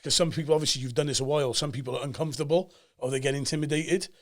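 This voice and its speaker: A man in his forties with a Cardiff Welsh accent, talking as a guest on a podcast and telling stories with emphatic energy. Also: on the high side. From Cardiff, Wales. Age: 40s. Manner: animated storyteller, fast and emphatic, acts out quoted voices. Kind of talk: casual podcast chat.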